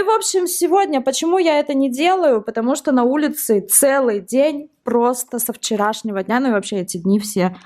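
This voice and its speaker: Chatty lady vlogging at home, bit of a high voice, talks on and on, laughs a lot. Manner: chatty and rambling, with frequent laughs. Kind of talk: casual home vlog chat. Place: at home, indoors.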